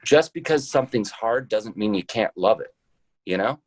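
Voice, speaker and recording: A man's voice: on the low side, expressive, moderate pace, no echo. A man speaking as an interview guest, casual and reflective, hesitantly thinking his answers through aloud. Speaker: podcast guest, show creator.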